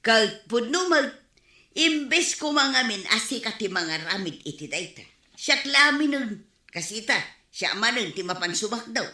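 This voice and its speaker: A woman's voice: on the high side, sing-song, a little slow, pushed and bright, echoey room, heavily acted. A woman acting a busy housewife who brushes off her husband's worries, in a radio drama.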